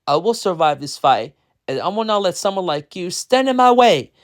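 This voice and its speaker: A storyteller voicing a heroic, emotional father with loud, dramatic declarations. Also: mid-range voice, lively intonation, slow, clean tone, dry recording, clean studio sound. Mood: defiant anger.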